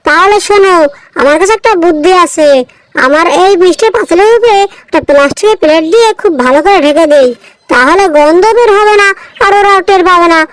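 A woman's voice: extremely high pitch, lively intonation, fairly quick, dry recording, rough recording. A woman voicing a helpful, shrewd monkey sweet seller in a cartoon, explaining eagerly. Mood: helpful, clever. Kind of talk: cartoon character acting.

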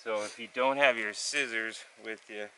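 Bright-voiced man explaining a woodworking craft. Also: lowish voice, normal speed, a little hiss or hum, low-quality recording. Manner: relaxed, explaining while working, soft chuckles. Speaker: canoe builder. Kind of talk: casual hands-on instruction. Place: outdoors in a forest camp.

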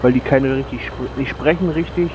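A man's voice: medium pitch, fairly quick. Man chatting on dull, noisy audio. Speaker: guest co-commentator. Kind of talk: casual chat. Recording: dull audio with faint vehicle noise.

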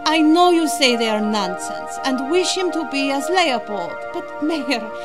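A devoted immigrant mother, played by a woman, who earnestly defends her son. Her voice is high and expressive. Loud music plays beneath her in this radio play. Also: unhurried. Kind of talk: radio drama acting. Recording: music playing underneath.

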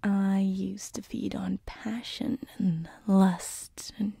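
A woman playing a shy, affectionate female demon in an ASMR roleplay, speaking softly and hesitantly, often whispering, with a breathy voice. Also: mid-range voice, steady tone, takes it slowly, dry recording, clean studio sound, exaggerated voice. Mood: apologetic, explaining.

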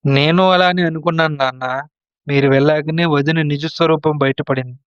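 A man's voice: a little high, fairly even intonation, moderate pace, clean tone, no echo, close to an everyday voice. A man playing a hot-headed, resentful younger son in a family story, in slightly muffled sound. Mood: aggrieved. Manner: aggrieved, confrontational.

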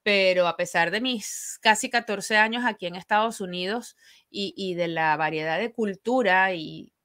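A woman's voice: mid-range voice, moderate pace, a bit bright. A woman with a Venezuelan accent hosting a casual radio interview in an affectionate, bubbly manner, close on a studio mic. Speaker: radio show host. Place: small living room at home, indoors. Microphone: studio microphone on a boom arm, close.

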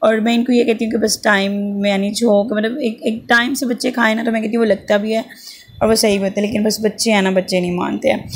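A woman's voice: on the high side, fairly even intonation, fast. A woman in her thirties with a Pakistani Urdu accent, a mother narrating a home cooking vlog in chatty, rambling voice-over, her throat sore and a slight fever on her. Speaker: vlogger, mother. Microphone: voice-over at close mic.

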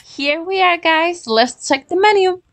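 A woman's voice: very high voice, a little slow, fairly bright tone. A young woman chatting enthusiastically to camera as she reviews food at an outdoor waterfront restaurant, speaking into an earphone mic. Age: young adult. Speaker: food vlogger. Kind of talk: casual vlog chat to camera. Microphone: wired earphone mic.

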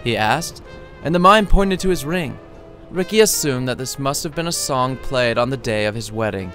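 A man's voice: medium pitch, expressive, moderate pace, a bit bright. A man reading his own short story as scripted narration, with a dramatic, playful storytelling delivery. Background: music under the voice.

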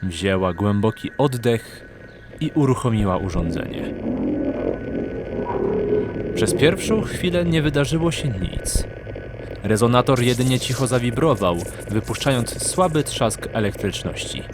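A man narrating a fantasy audio drama in a measured, suspenseful storytelling manner. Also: medium pitch, animated. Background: music.

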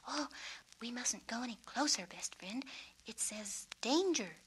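A woman voicing an earnest, gentle young girl in a storybook, girlish and a little halting. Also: on the high side, animated, slight background noise, pitched above the performer's own voice, poor audio. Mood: urgent. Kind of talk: storybook character reading.

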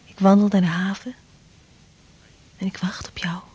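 Radio play: yearning young fiancée, breathy, medium pitch, devoted to her seafarer. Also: level tone, moderate pace, dark tone. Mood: wistful. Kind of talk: Dutch radio drama acting.

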